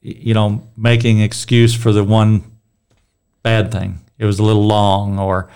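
A man's voice: fairly low pitch, completely flat delivery, measured pace, creaky, a little mellow, clear, resonant tone. A middle-aged man with a strong voice leading a casual Bible discussion, rambling and restarting his sentences. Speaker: Bible study leader.

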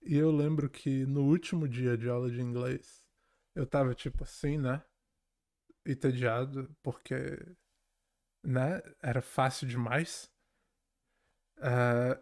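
A man telling personal stories in casual chat, acting out quotes and often checking that the listener follows. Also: medium pitch.

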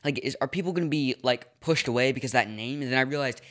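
A teenage boy from Los Angeles co-hosting a podcast in casual chat, quick and chatty. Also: mid-range voice, a bit bright. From Los Angeles, USA. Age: teens. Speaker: podcast co-host.

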